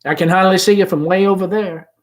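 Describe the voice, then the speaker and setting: A man acts out a confident young gentleman caller in a stage play. He is hearty and gallant, with gentle humor. The pitch sits lifted and the delivery is easy and outgoing. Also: fairly even intonation, fast talker, natural voice. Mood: breezy, friendly. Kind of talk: play read-aloud.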